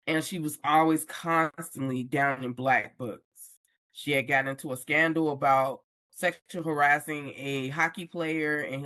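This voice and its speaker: Slow-talking candid woman guest. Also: deep voice, a bit bright, dry recording. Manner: candid, slang-laced storytelling. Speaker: podcast guest, book influencer. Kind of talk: casual conversation.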